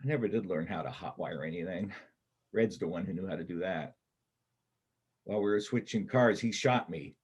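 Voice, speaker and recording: A man in a readers' theater play acting a wounded, rattled bank robber on the run, with a halting, stammering, rambling delivery. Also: low voice, slightly rough. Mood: weary, rambling.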